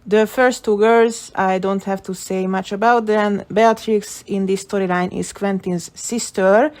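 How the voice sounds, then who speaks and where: A woman's voice: mid-range voice, steady tone, measured pace, a little mellow. A woman video creator giving casual, opinionated commentary, thinking through her theories as she goes. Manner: speculative, opinionated. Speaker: video creator analysing game story.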